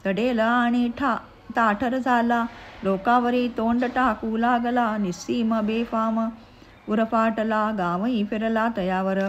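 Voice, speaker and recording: A woman recites devotional verse as a narrative. Her voice sits fairly high, and she takes each line very slowly, in measured phrases. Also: steady tone, a little hiss or hum.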